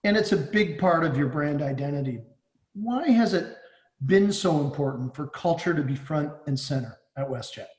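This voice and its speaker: A man's voice: fairly high pitch, animated, moderate pace, darkish tone. A man hosting an interview podcast, putting prepared questions to a guest, recorded with an echoey, roomy sound. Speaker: podcast host.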